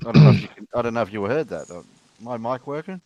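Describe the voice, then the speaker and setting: Quiet Australian man, hesitant. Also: mid-range voice, expressive, quick pace, dull audio. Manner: hesitant, quiet, unsure of his mic. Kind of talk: casual group chat.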